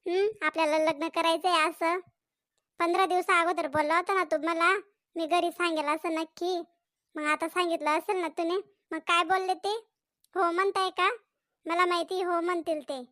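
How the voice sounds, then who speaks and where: A lovesick young village woman from a cartoon comedy, pleading and reproachful toward her sweetheart, voiced by a woman. Her voice sits very high, and the recording is dry and close. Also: little pitch movement, normal speed, sharp tone, no room sound. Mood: hopeful. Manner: pleading, emotional.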